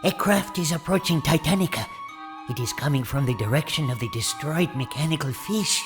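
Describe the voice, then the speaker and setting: A man's voice: on the high side, animated, brisk, over music, lightly acted. An actor playing a deferential male aide to an undersea ruler in an audio drama. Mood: obsequious. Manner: obsequious.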